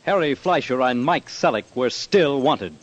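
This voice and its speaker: A man's voice: medium pitch, expressive, normal speed. A man narrating an old-time radio crime series in a terse, emphatic, dramatic style. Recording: old radio broadcast with loud music behind. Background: dramatic musical underscore.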